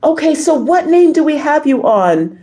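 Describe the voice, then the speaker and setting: Mellow, slightly hoarse woman livestreamer. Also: sits high, very animated, moderate pace. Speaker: livestream host. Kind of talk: casual livestream chat, reading viewer comments.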